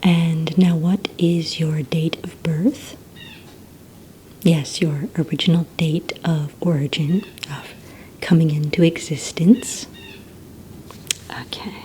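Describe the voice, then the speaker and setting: A woman whispering softly and breathily in an ASMR roleplay, playing a polite receptionist who asks questions in a gentle, hesitant manner. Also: low-pitched, slow pace, pauses often, a little hiss or hum, poor audio. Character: courteous female villain recruitment receptionist.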